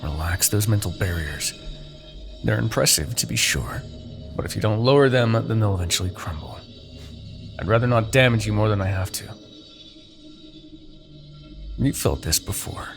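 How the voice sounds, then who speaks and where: A man playing a smug, commanding Demon King in a fantasy ASMR roleplay, taunting and possessive, with theatrical, deliberate phrasing. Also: on the low side, expressive, vocal fry, faint music behind. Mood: coaxing, menacing.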